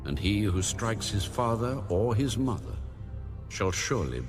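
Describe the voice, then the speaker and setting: Low male scripture narrator over music. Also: expressive, a little slow. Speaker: audio Bible narrator.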